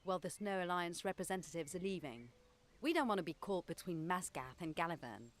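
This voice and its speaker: Brusque, lively low-voiced noblewoman. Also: a little fast. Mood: brusque, irritated. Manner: brusque, sardonic. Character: blunt, gruff noblewoman envoy, impatient with formalities. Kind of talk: fantasy game voice acting.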